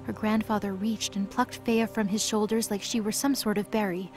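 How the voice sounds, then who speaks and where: A woman's voice: medium pitch, flat delivery, normal speed, darkish tone. A woman reading scripted story narration as the narrator of a mythology audio drama. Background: music.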